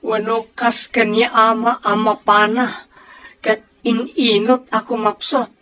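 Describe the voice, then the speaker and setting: A woman's voice: fairly high pitch, little pitch movement, slow pace, sharp tone, poor audio. A woman acting in an Ilocano radio drama as an earnest grown child who pleads solemnly and emphatically for peace with warring parents. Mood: earnest, pleading.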